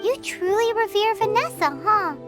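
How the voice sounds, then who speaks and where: A woman voicing a tiny, childlike fairy companion in a video game, squeaky, chirpy and emphatic. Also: moderate pace. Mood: curious, bright. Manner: refers to self by name, emphatic. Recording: loud music under the voice.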